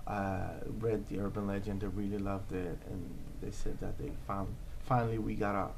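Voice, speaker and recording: A man in his thirties answers questions earnestly, stopping and restarting often and filling pauses with "um" and "uh". His voice sits low. Faint background noise hums under the recording. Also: level tone, gravelly. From Norway. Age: 30s. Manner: hesitant, many fillers and restarts, earnest. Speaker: interview guest, comic book creator. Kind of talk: casual interview answers.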